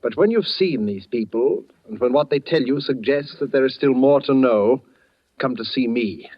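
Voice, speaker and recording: Actor as a courteous, sinister schemer, vintage radio. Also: male voice, medium pitch, normal speed, lightly acted. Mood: smooth, insinuating. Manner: over-polite, formal, insinuating. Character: sinister, courteous, money-hungry man with weak eyes, holding a gun. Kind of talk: 1940s radio suspense acting. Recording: dull vintage 1940s radio recording.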